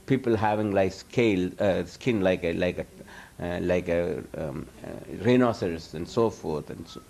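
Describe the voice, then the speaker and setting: A man's voice: low-pitched, moderate pace, gravelly, darkish tone. A man who is a spiritual teacher, answering audience questions in an informal lecture. He rambles, restarts his sentences often and chuckles as he talks. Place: live talk before an audience.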